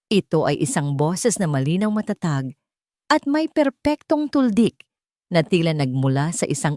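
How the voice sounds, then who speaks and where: Low-voiced Filipino woman narrating drama. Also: a little mellow, dry recording, clean studio sound. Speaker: audio story narrator. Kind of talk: dramatic scripted storytelling.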